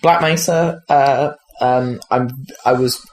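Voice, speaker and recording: A man's voice: mid-range voice, steady tone, slow. A man chatting casually about video games as a podcast host, rambling and hesitant with frequent swearing. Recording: clearly noisy background.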